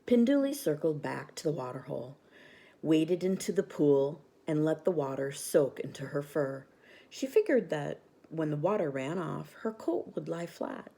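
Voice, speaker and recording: A woman reading a children's bedtime story aloud with expressive narration. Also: low-pitched, normal speed, gravelly.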